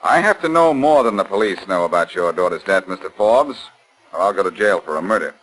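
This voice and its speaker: An actor playing a brash, confident amateur sleuth in 1940s radio style, blunt and insistent. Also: male voice, low voice, animated, brisk, a bit bright, rough recording. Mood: accusing. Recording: 1940s radio broadcast recording.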